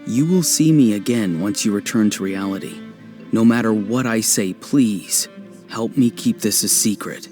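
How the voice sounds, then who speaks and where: A man playing an earnest young man in a video game, pleading and soft-spoken. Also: fairly low pitch, moderate pace, faint music behind, close to an everyday voice. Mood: strained, pleading.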